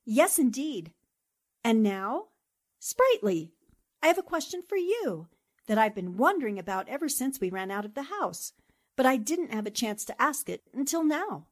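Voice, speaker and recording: A plucky newspaperwoman played by a woman speaks in a high voice with big swoops of pitch. She is quick-witted, earnest and emphatic, in the manner of a 1940s radio drama. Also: sing-song. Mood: brisk, curious.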